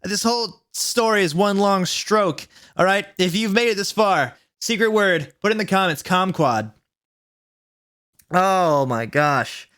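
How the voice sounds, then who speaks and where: A man's voice: high-pitched, animated, unhurried, a bit bright, clean tone. A man hosting a comedic dramatic read-aloud, stumbling over words and breaking into exasperated asides, sounding mentally worn out. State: mentally worn out from long reading. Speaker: YouTube host reading fan fiction aloud.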